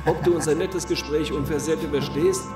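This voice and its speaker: An actor playing a smug, softly menacing gang boss interrogator in an audio drama, mock-polite, taunting and deliberate. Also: male voice, fairly high pitch, steady tone, roomy sound, music in the background, lightly acted.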